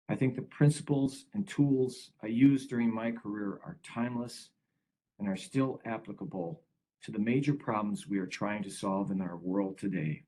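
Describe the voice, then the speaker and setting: Husky-voiced man presenting a webinar. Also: fairly low pitch, a little mellow, rough recording. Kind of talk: prepared story reading and discussion.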